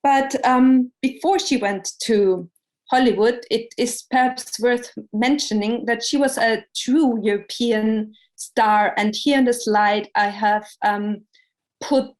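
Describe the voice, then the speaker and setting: A woman presenting an academic seminar lecture, speaking in a measured way with frequent pauses and hesitations. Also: medium pitch.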